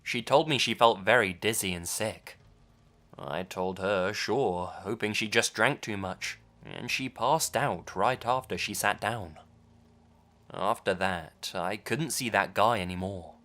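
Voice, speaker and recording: Brief: male horror narrator, lowish voice, calm measured storytelling.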